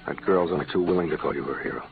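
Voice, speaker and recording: An actor playing a steady, righteous American cowboy in a radio western, terse and commanding. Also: male voice, sits low, rapid-fire. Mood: cool, watchful. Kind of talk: 1940s-50s western radio acting. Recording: noisy, narrow-band old radio recording.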